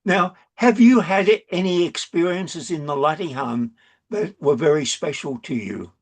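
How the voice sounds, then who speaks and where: An interviewer asking gentle follow-up questions and giving brief encouraging replies. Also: medium pitch, lively intonation, moderate pace. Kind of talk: conversational interview.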